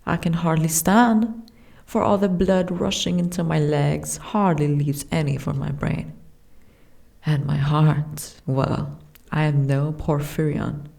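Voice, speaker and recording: Casting: woman to play young giantess princess for fantasy ASMR. Genteel, frail, wistful; low-pitched, unhurried, softly delivered. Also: steady tone, vocal fry, exaggerated voice. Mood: weary, wistful. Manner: formal, archaic, genteel phrasing.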